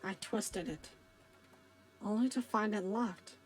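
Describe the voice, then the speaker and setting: A woman's voice: medium pitch, takes it slowly. A woman streamer reading a visual novel aloud, playful and joking with chat, cursing at times. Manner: playful, swears, jokes with chat.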